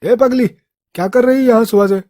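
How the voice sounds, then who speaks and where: A woman's voice: on the high side, a little fast, warm tone, dry recording, clean studio sound, voice pushed up, close to an everyday voice. A woman voicing scornful, gossiping street townsfolk in a colloquial, nosy manner for an audio story. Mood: scolding.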